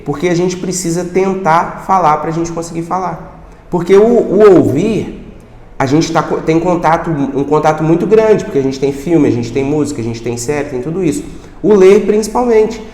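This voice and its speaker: A man's voice: a little high, darkish tone. A man giving a casual video lesson, talking conversationally and often repeating words while he finds his phrasing. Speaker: language teacher. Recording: echoey room with long reverb.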